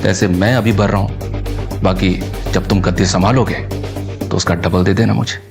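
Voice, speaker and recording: A man plays a generous, easygoing boss in an audio serial. His voice sits low, and he advises in a wry, knowing way. Music runs clearly underneath. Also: fairly even intonation, normal speed. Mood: generous, brisk. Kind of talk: Hindi audio serial acting.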